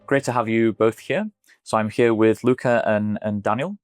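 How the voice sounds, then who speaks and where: A man's voice: on the low side, normal speed, no room sound, studio quality, soft background music. A man hosting an interview, friendly, with many fillers and restarts. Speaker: host. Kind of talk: interview host.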